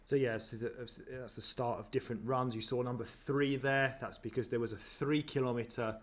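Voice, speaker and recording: A man's voice: medium pitch, steady tone, a little fast, faint music behind. A man chatting casually as he reacts to footage of himself, self-deprecating, with many ums and restarts. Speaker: running vlogger.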